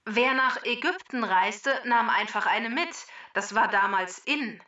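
A woman with a very bright, fairly high voice delivers scripted quiz narration in a measured, formal way. The sound is a little muffled and distant, with some music behind her. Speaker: quiz master and announcer of a children's podcast.

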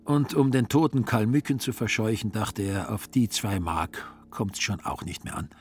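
A man reading literary radio narration in a measured storytelling manner. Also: on the low side, expressive, darkish tone, slight background noise. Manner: measured storytelling in reported speech.

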